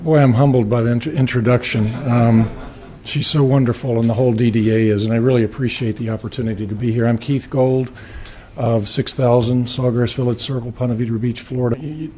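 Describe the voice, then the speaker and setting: A man with a raspy voice giving an earnest presentation to a city board, with a few fillers and restarts. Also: mid-range voice, fairly even intonation, dark tone, a little hiss or hum. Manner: earnest, slightly halting with fillers. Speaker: marketing firm presenter. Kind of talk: public presentation at a city meeting. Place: city commission meeting room.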